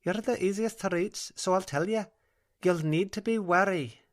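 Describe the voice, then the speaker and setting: A woman's voice: medium pitch, moderate pace, voice pushed up, lightly acted. A woman voicing a soothing, earnest female dream-walker with a broad Scottish accent in an audiobook.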